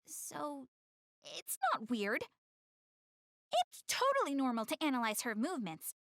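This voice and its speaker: A woman's voice: high-pitched, wildly swooping pitch, unhurried, a bit bright, no room sound, clean studio sound, full character voice. A woman playing a proud, clumsy, secretly caring anime girl in a video game, chatty, defensive and easily flustered. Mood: flustered, secretive. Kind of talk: English-dubbed anime video game cutscene acting.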